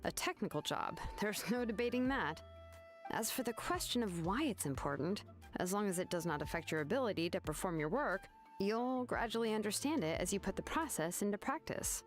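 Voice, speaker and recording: An actress voicing a laid-back young craftswoman who explains things in a relaxed, matter-of-fact way. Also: medium pitch, fairly quick, soft background music, close to an everyday voice. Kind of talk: video game voice acting.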